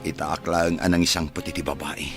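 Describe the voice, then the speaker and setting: A man's voice: extremely low pitch, flat delivery, fairly quick, creaky, over music. A man playing a brooding, stern young master of a mansion in an earnest radio drama style. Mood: hurt, troubled.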